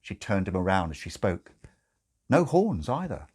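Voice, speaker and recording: A man reading a comic story as narrator, in a measured, dramatic and slightly arch way. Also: deep voice, big pitch swings, a little mellow, slightly rough. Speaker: narrator, audiobook reader.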